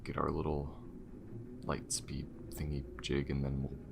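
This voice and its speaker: A man plays a wary, injured alien spacefarer in an intimate ASMR roleplay. The voice is extremely low and gravelly, moving slowly through hesitant, half-whispered asides with a dry, wry streak. A clear layer of noise sits behind the male voice. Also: completely flat delivery, full character voice. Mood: wary, uneasy. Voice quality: very deep, gravelly. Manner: hesitant, casual, muttering with sighs and half-whispers. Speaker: voice actor. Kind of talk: ASMR audio roleplay, one side of a conversation.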